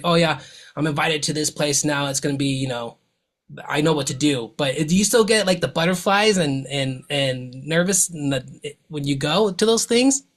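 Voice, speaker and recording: Excited slangy male podcast host. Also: a little high. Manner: enthusiastic, slangy, stammering restarts. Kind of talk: casual interview hosting.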